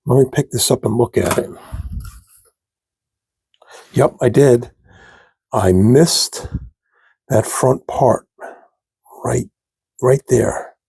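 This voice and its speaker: A man with a gravelly, husky voice and a froggy throat, talking slowly and haltingly as he works at his bench. His tone is dark and mellow, and the sound is close and dry. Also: mid-range voice, no echo. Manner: slow, halting, talks to viewers while working. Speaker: scale model hobbyist demonstrating. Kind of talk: casual hands-on tutorial.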